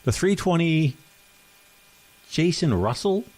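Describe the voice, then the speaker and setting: A man's voice: fairly high pitch, very animated, slow pace, darkish tone, low-quality recording. A middle-aged man co-hosting a podcast, chatting casually and telling rambling stories full of restarts, with an occasional cough. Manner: rambling, self-correcting storyteller. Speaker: podcast co-host. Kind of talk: casual chat.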